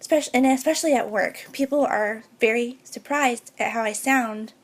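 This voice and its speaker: A young woman with a high-pitched, girlish voice, talking earnestly and a little hesitantly to camera as a beauty vlogger. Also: normal speed. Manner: earnest and heartfelt, a bit hesitant. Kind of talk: personal talk to camera.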